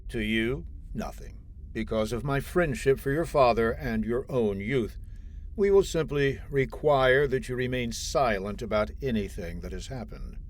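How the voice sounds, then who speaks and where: A man's voice: medium pitch, animated, unhurried, close to an everyday voice. A man playing a cold, unyielding army colonel, formal and authoritative, in old-time radio drama style. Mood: cold, menacing.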